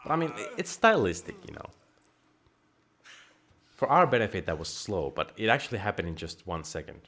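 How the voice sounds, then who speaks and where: A man with a Finnish accent chatting and joking over a game at home, his voice jumping up when excited. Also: lowish voice, a little fast. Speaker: game streamer. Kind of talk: casual game commentary. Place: home room.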